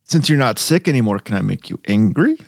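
A man's voice: medium pitch, sing-song, fairly quick, creaky, no room sound. A man co-hosting a podcast in casual chat, tossing out dry teasing quips. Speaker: podcast co-host.

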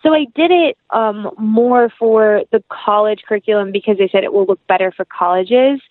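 A woman's voice: mid-range voice, normal speed, dry recording, phone-quality sound. A woman giving conversational interview answers as a guest actress, reflective and earnest, often telling little anecdotes.

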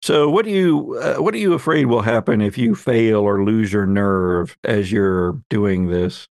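Low-voiced man running a tabletop game. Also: unhurried, creaky, a little mellow, no room sound, studio quality. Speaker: game master and host. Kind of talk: casual tabletop game narration.

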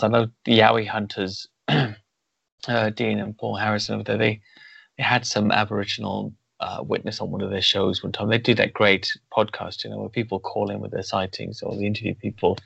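A man's voice: lowish voice, creaky, a bit bright, breathy edge, no room sound. A man hosting a podcast interview, talking in a thoughtful, rambling way with many restarts. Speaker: podcast host. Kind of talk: conversational interview. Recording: dull lo-fi sound.

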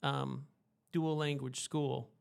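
A man hosts a relaxed one-on-one interview. His voice has a lot of gravel and fry at a middling pitch. He is chatty and quick to cheer his guest on. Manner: chatty and encouraging, quick to praise. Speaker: interviewer, host. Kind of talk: casual conversational interview.

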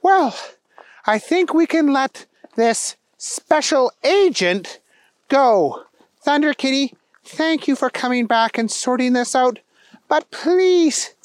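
Man doing a high, officious lady police chief. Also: expressive, takes it slowly, no room sound, exaggerated voice. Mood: grateful. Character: tall, intelligent female head police chief, gushing and officious. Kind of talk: children's storybook performance.

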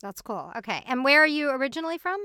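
A woman's voice: sits high, sing-song, quick pace, sharp tone. A woman hosting an interview, asking short, prompting questions. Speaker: interview host. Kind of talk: conversational interview.

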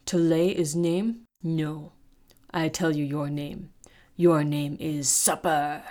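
A woman's voice: low-pitched, steady tone, slow pace, warm tone. A woman voicing a scarred male half-orc gang leader who speaks in broken, snorting phrases. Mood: mocking. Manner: broken speech, snorting and derisive.

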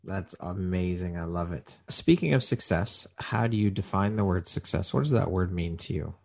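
Deep-voiced man hosting an interview. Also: moderate pace, vocal fry, darkish tone. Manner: affirming, frequent "yeah" and "I love it". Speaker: podcast interviewer host. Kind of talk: supportive interview conversation.

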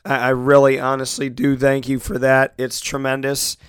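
A man's voice: medium pitch, completely flat delivery, normal speed, clear, resonant tone, dry recording. A man hosting his own sports talk show while losing his voice, speaking earnestly and gratefully with many restarts. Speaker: sports talk radio host. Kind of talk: solo internet radio show monologue.

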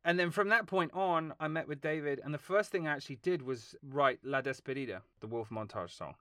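A man talking as a film composer guest in a casual interview, telling long, lively stories and laughing along the way. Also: on the high side, a little fast, no echo, clean studio sound. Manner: animated, rambling storytelling with laughs.

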